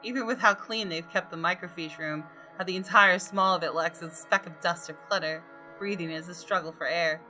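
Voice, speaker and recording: A woman reading visual novel narration aloud with deliberate, dramatic storytelling. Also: fairly low pitch, bright, forceful tone. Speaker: gaming video host. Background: music.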